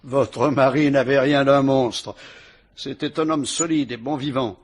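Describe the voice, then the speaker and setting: An actor playing a shrewd police inspector who questions a suspect with dry irony, in a vintage radio drama. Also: male voice, mid-range voice, fairly even intonation, measured pace, lightly acted. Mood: probing, ironic. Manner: sly, probing, mocking interrogation.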